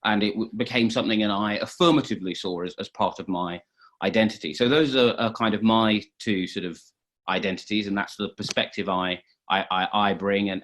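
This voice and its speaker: Low-voiced reflective man. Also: fairly bright tone. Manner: reflective, rambling with restarts. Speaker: panelist, political journalist. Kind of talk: panel discussion remarks.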